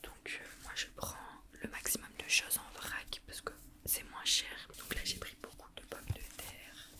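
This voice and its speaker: A young woman whispering a casual grocery haul for ASMR, chatty and self-mocking with plenty of hesitations. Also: normal speed. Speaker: ASMR vlogger.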